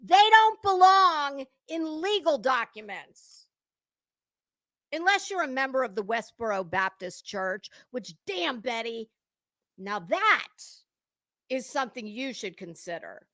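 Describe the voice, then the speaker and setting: High-pitched, blunt woman ranting. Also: very animated, takes it slowly, bright, forceful tone. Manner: blunt, sweary, confrontational. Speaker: online commentary creator. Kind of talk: unscripted gossip commentary rant to viewers.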